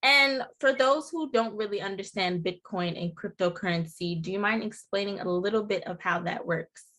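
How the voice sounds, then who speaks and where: Female interviewer, friendly affirming delivery, mid-pitched. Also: moderate pace, a bit bright. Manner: upbeat, affirming, "we love that". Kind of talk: interview questions.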